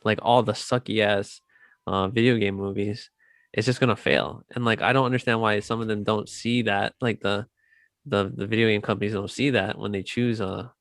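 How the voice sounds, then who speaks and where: A man in casual chat, speaking in a halting, slangy, opinionated way. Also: fairly low pitch, steady tone, no echo. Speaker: podcast co-host. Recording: music under the voice.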